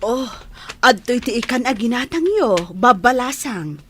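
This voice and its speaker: A woman's voice: fairly high pitch, expressive, measured pace, a bit bright, slight background noise. A woman playing a kindly, grateful older fish seller in an audio drama, with an Ilocano Filipino accent.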